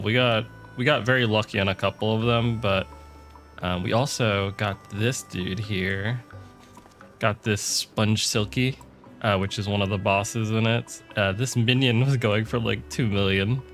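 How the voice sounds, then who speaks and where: A man's voice: lowish voice, a bit bright. A man giving rambling, casual commentary over his gameplay, with frequent ums and restarts. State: sick with a cold, voice going. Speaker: gaming video creator.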